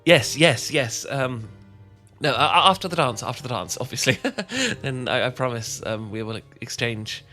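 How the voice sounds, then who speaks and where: A man with an English accent playing a charming, shy young gentleman vampire in an ASMR romance roleplay, polite and old-fashioned, often stumbling over his words. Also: mid-range voice, moderate pace, faint music behind. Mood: shy, courteous. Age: young adult.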